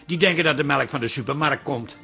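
Wry, creaky-voiced grumbling husband. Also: male voice, a little high, quick pace, bright, forceful tone, soft background music, full character voice. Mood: wry, confiding. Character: bemused, dry-humoured husband from a farming family. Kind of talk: Dutch radio comedy drama acting.

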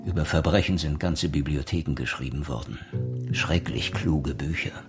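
Deep male voice, earnest police inspector, radio crime drama, measured and reflective, music underneath. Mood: grave, reflective.

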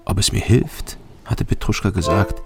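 An actor playing a haunted middle-aged former border guard from East Germany, narrating his thoughts in a subdued, halting way in a radio drama. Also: animated. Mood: haunted, brooding. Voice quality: low, gravelly. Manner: subdued, halting, weighty short phrases. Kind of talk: radio drama inner monologue. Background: instrumental music.

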